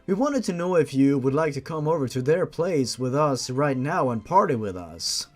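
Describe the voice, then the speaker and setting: A man's voice: fairly high pitch, lively intonation, moderate pace, faint music behind. Man as a casual teenage skater boy. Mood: casual, friendly.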